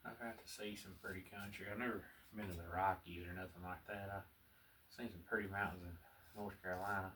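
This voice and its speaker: A man with a strong Southern US accent, chatting in a folksy, unhurried way as he teaches painting, murmuring asides to himself. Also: sits low, fairly even intonation, sharp tone. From American South. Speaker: painting instructor. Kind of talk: relaxed painting tutorial with casual chat. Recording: distant mic with clear background noise.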